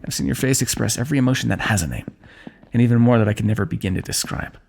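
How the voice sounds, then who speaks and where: A man voicing a kingdom's ruler who gently chides and teases a wounded companion while tending his injury, in an intimate fantasy roleplay. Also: medium pitch, racing, creaky. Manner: gentle, chiding, teasing, close and intimate. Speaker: ASMR roleplay performer. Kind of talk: scripted fantasy ASMR roleplay.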